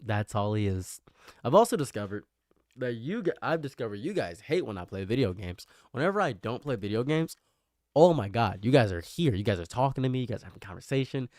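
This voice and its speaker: A man's voice: medium pitch, very animated, a little fast, a little mellow, dry recording, clean studio sound. A young man with a nasal voice, rambling and self-mocking as he chats with his live stream viewers. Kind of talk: casual live stream chat.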